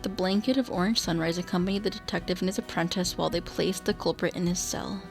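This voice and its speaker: A woman's voice: on the low side, fairly even intonation, quick pace, faint music behind. A woman narrating a radio drama in a scripted storytelling style.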